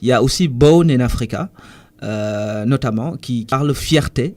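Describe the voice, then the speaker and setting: A Senegalese man, a musician guest in a radio studio interview, explaining his ideas thoughtfully with frequent pauses and restarts. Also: mid-range voice, darkish tone.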